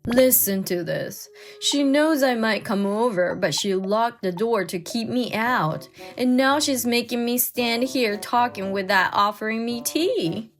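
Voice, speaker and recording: A woman's voice: a little high, unhurried. A woman voicing a spiteful, possessive older mother-in-law in a dubbed comic story, haughty and berating, over music. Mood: scolding, indignant. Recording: music bed under the voice.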